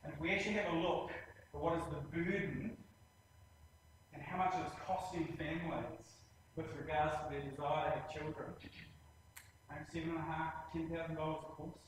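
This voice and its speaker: A man with a New Zealand accent giving a presentation on hospital plans, speaking plainly with many pauses and a habit of saying "actually". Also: a little high, fairly even intonation, distant mic, noisy background. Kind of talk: presentation in a lecture series. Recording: very echoey room, voice from afar, faint ambience.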